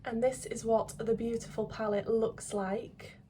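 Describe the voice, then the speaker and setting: A woman who is getting over a cold, chatting excitedly through a makeup vlog at home, full of ums and sometimes dropping to a near-whisper. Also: fairly high pitch, unhurried, soft background music. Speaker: makeup vlogger. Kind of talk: casual beauty vlog chat. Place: indoors at home, filming makeup at a mirror.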